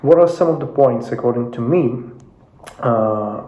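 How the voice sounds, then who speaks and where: A man from Russia whose first language is Russian, talking casually from his home office without a proper microphone, pausing often with fillers and restarts. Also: mid-range voice. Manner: hesitant, many fillers and restarts. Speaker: YouTube commentator. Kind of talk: casual talk to camera. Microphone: no dedicated microphone. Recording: roomy, dull lo-fi sound.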